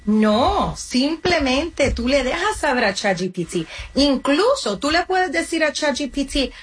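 A woman's voice: sits high, big pitch swings, unhurried. A woman answering interview questions as a community organization guest, explaining her advice with examples and addressing the listener directly. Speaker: guest, community nonprofit representative.

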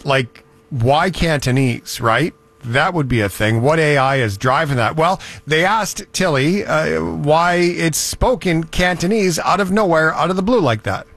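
A man's voice: on the high side, a little slow. Chatty man hosting a talk show. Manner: chatty, animated storytelling. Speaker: show host. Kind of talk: casual talk-show banter.

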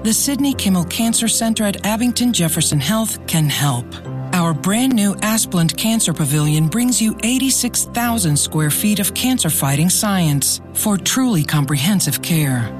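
A woman's voice: medium pitch, expressive, moderate pace. A woman reading a scripted radio commercial in a polished, confident way. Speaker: ad announcer. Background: music bed.

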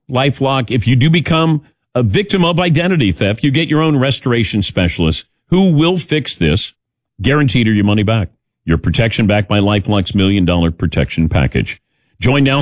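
A male radio host talking sports in a casual, opinionated way. His pitch moves around a lot, and the sound is dry and close, with a wry edge to his delivery. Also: no echo.

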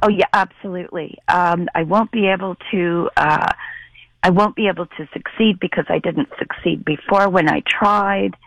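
A woman's voice: lowish voice, normal speed, creaky, a bit bright, dry recording, rough recording. A woman speaking over the phone in a live radio interview, explaining a senior center program in an earnest way with some hesitation. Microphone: telephone.

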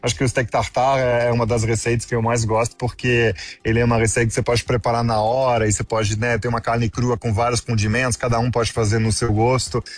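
A man's voice: medium pitch, level tone, quick pace, hardly any pauses. Middle-aged man, enthusiastic guest. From France. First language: French. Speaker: interview guest working in gastronomy. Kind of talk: conversational interview answers.

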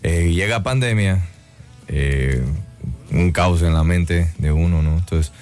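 A man's voice: low voice, takes it slowly, a little mellow, clear, resonant tone, faint music behind. A man from Guatemala, a singer chatting in an interview, thoughtful and full of "eh" fillers and tag questions. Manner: reflective, many "eh" fillers and tag "¿no?". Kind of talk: casual interview chat.

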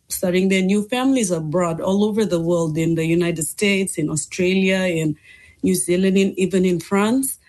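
A woman's voice: mid-range voice, a little mellow. A young woman from Fiji, an English teacher, answering interview questions thoughtfully with frequent ums and restarts.

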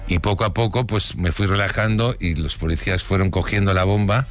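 A man's voice: low-pitched, steady tone, fairly quick, faint music behind. A middle-aged man from Spain recalling his childhood in a loose, casual storytelling manner, full of restarts. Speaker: interviewee. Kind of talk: recounting childhood memories.

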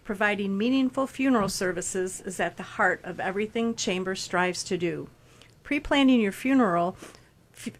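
A woman co-hosting a radio show, speaking as a presenter who also reads sponsor spots. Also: mid-range voice. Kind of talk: radio talk and scripted sponsor read.

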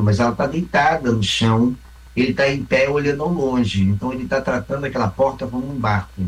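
A man's voice: medium pitch, far from the mic, rough recording. A man from the south of Brazil with a Carioca accent, a mime and theatre company member, answering interview questions in a reflective way, explaining with examples and often asking "né?". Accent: Carioca Brazilian Portuguese. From Rio Grande do Sul, Brazil.

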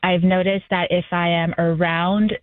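A woman calling in to a radio show, chatting casually and quickly with light laughs. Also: fairly low pitch, level tone, no echo. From United States. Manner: talks fast, laughs lightly. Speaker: caller. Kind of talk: casual call-in chat. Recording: tinny phone line.

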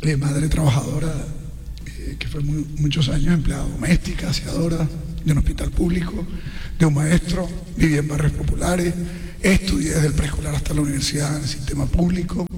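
A man's voice: fairly high pitch, steady tone, fairly quick, a little mellow, big-room sound. A middle-aged man from the Colombian Caribbean coast with a coastal Colombian accent, a political candidate talking earnestly as a guest on a radio interview, piling up lists of points.

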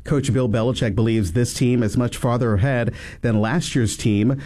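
Measured male radio newscaster. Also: mid-range voice. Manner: steady, measured delivery. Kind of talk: scripted news reading.

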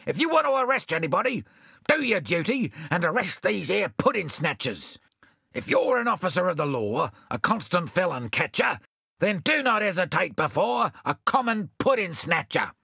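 Give a gruff, fuming koala sailor with a lively, huffy voice; man doing it. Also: high voice, moderate pace, no room sound, clean studio sound, voice pushed up, exaggerated voice. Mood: indignant. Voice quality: gruff, indignant.

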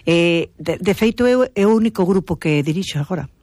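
A woman theatre director with a Galician accent, talking quickly with many fillers. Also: lowish voice, expressive, a little mellow, no room sound. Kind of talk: interview answers.

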